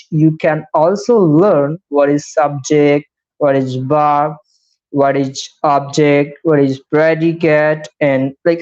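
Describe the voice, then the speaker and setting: A man's voice: on the high side, a little mellow. Indian-accented young man teaching English. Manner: repetitive, explains step by step with frequent "right?". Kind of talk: live-streamed beginner English lesson. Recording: slightly dull lo-fi live stream audio.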